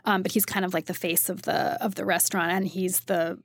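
A woman's voice: on the low side, little pitch movement, dry recording. A woman being interviewed in casual conversation, often interrupting herself and starting over, leaning on "like" as she thinks aloud. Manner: halting, self-interrupting, frequent "like". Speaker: restaurant critic, interview guest.

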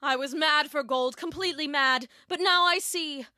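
A woman's voice: high-pitched, moderate pace, pushed and bright, no room sound, clean studio sound, voice pushed up. A woman playing a proud, greedy king and father in a story, declaiming in a pompous, theatrical way. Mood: anguished, remorseful.

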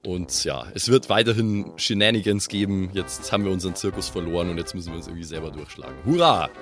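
A man's voice: low-pitched, fast, a bit bright, faint music behind. A man speaking casually as a podcast host, chatty and full of playful asides. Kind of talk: casual spoken outro with thank-you roll call of supporters.

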